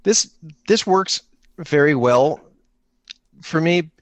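A man improvising his way through a tabletop game in casual chat, thinking out loud as he plays. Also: a little high, expressive, takes it slowly, no echo. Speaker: podcast player improvising a solo tabletop game.

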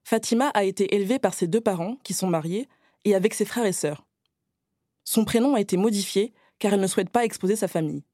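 A woman journalist narrating a personal, scripted account in a calm storytelling manner. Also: medium pitch, fast, no echo, clean studio sound.

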